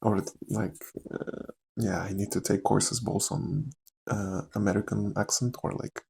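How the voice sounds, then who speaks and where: A young adult man with a Slavic accent, speaking softly and candidly in a rambling, casual ASMR chat, with frequent fillers and quiet laughs. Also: lowish voice, little pitch movement, a little slow, creaky, a little hiss or hum. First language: Czech or another Slavic language. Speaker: actor.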